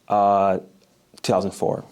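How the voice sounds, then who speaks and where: A man's voice: low voice, monotone, takes it slowly, darkish tone, clear, resonant tone. A nineteen-year-old man with a Midwestern American accent, reading a passage and then chatting casually in an interview, with lots of "like" fillers. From Oak Park, Illinois, USA. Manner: casual, uses many "like" fillers.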